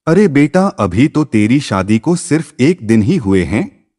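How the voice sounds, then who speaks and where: Patient coaxing mother, very lively pitch, male narrator. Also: measured pace. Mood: reassuring. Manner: coaxing, reassuring. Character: middle-aged mother, caring, patient and persuasive. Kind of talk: acted dialogue in narrated moral story.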